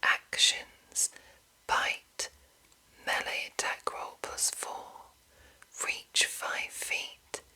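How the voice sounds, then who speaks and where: Woman, breathy ASMR whisper, slow deliberate delivery, crisp bright edge, close mic. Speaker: ASMR host reading a monster manual. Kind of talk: whispered ASMR reading with casual asides.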